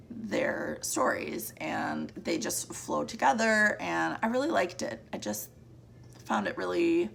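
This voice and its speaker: A woman book vlogger talks casually through her month's reading in a deep voice with heavy vocal fry. Her voice sounds a little cracked, and she rambles and restarts mid-sentence. A faint hiss sits under the recording. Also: a bit bright. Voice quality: deep, gravelly voice. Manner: chatty, rambling, trails off and restarts.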